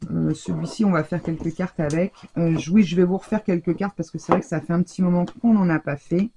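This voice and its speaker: A woman's voice: fairly low pitch, steady tone, fast talker, mellow, slight background noise. A woman from France chatting casually and rambling with many fillers as she shows her craft purchases in a haul video. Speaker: craft vlogger.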